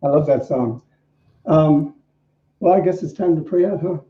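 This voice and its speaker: A man with a somewhat high voice co-hosts an online service as minister, leading affirmations and chatting casually. The sound is echoey and a bit muffled. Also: moderate pace. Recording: echoey room, dull lo-fi online-meeting audio.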